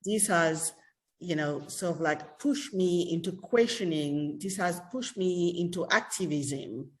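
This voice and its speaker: A woman from Haiti moderating a panel conversation, speaking deliberately and with emphasis. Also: fairly low pitch, poor audio.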